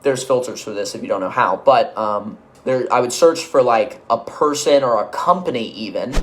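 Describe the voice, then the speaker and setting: A man giving an instructional business-coaching talk, conversational and energetic, checking in often with quick asides. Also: mid-range voice. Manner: energetic, conversational, uses filler checks like "okay?" and "right?".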